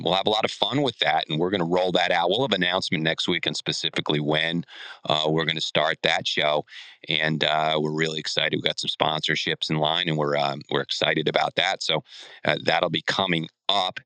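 An American man hosting a sports podcast, casual and upbeat, with a halting, colloquial delivery. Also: rumbling low, pushed and bright, clear, resonant tone, dry recording.

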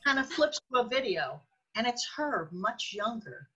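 A woman's voice: a little high, very animated, moderate pace, bright, forceful tone, husky, poor audio. A woman reading scripted narration for a radio drama, describing scenes as the narrator.

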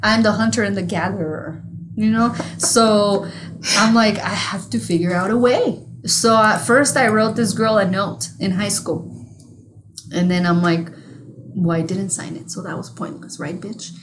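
A woman's voice: mid-range voice, moderate pace, slight background noise. Mexican-accented woman, podcast co-host, casual storytelling, frank and profane, laughs often. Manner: candid, swears freely, storytelling with laughs. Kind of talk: casual chat.

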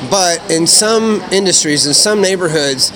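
A man's voice: high voice, unhurried, crowd noise, rough recording. A chatty man co-hosting a casual conversation, laughing easily and using lots of fillers, recorded outdoors at a convention with noise behind him. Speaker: podcast co-host. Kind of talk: casual chat.

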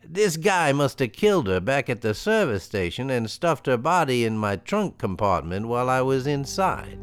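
An actor playing a shaken but wry man in an old-time radio thriller, speaking in a halting, emphatic, dramatic way. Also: medium pitch, sing-song, clear, resonant tone, no room sound, lightly acted. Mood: grave explaining. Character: John Taylor, shaken but wry man explaining a killing. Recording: vintage radio recording, dry, music behind.

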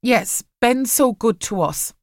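A woman's voice: fairly high pitch, expressive, slow pace, a little mellow, no echo, clean studio sound, voice pushed up. A woman reading a storybook, voicing an anxious, timid spinster sister who frets and pleads. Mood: muttering, dutiful. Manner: worried, fretting.

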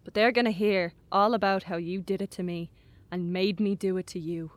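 A woman's voice: mid-range voice, steady tone, moderate pace, lightly acted. A woman playing a lonely, manipulative teenage boy, insistent and pushy, in naturalistic audio drama. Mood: hurt, threatening.

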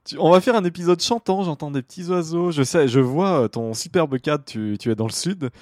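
A man's voice: a little high, a little fast, a little mellow, no echo, studio quality. A man hosting a business podcast with an informal, conversational manner. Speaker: podcast host. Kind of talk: scripted intro and conversational interview.

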